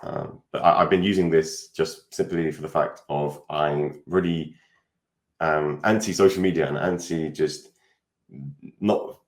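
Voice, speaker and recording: A man's voice: very deep voice, steady tone, measured pace. A young man from the UK, a podcast guest, chatting casually and rambling with many fillers over a video call. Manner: rambling, hesitant, lots of fillers. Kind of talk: casual interview chat. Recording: echoey room.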